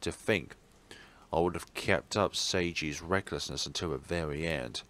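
A man's voice: rumbling low, measured pace, fairly bright tone. A man reading a visual novel's story aloud as dramatic narration for a let's play. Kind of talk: dramatic narration of game text.

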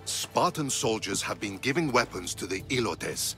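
An actor playing an earnest, loyal Spartan officer in a video game, respectful and persuasive. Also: male voice, on the low side, animated, moderate pace, bright, forceful tone. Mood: confiding. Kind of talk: dramatic video game acting. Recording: music behind dialogue.

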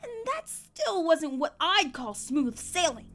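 Woman acting young female cartoon pony, very high voice, animated delivery, brash, blunt, protective, horror story. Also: slow, faint music behind, heavily acted. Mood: urgent, insistent.

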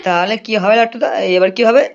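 Man playing a very fast-talking cartoon village sidekick. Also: sits high, dull audio, natural voice. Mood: eager. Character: excitable, lecherous village man, sidekick and father. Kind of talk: comic cartoon dialogue.

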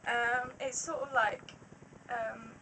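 A young woman talks casually to a webcam in a small room, her fairly high voice slightly croaky and full of ums as she rambles along. The audio is noisy and a little distant from the mic. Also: fairly even intonation, slow pace, pushed and bright. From Wales. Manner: chatty and rambling, many ums. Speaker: beauty vlogger. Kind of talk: casual haul video. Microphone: webcam at close range.

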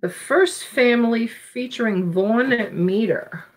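A woman's voice: fairly high pitch, slow. A middle-aged woman with a New York accent, hosting a live auction and chatting casually as she reads out album titles. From Long Island, New York. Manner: chatty, thinking aloud while reading album titles. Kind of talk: casual live-stream selling records. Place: small home room full of shelves.

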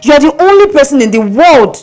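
An actress playing a concerned, insistent friend with a Nigerian accent, speaking frankly and reproachfully. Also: female voice, high voice, very animated, fast, a little mellow, soft background music. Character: frank, concerned female friend confronting a man. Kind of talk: film drama dialogue.

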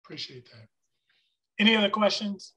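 Bright, forceful man chairing a meeting. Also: sits high, moderate pace. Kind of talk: formal meeting discussion. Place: video conference meeting. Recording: video call audio.

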